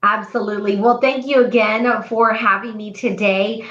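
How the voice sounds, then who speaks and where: A confident woman from South Texas who speaks steadily and clearly about her campaign as a guest. Her voice comes through a dull, muffled remote line with a roomy echo. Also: medium pitch, fairly even intonation, moderate pace, fairly bright tone. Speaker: congressional candidate guest. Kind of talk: interview, campaign talk.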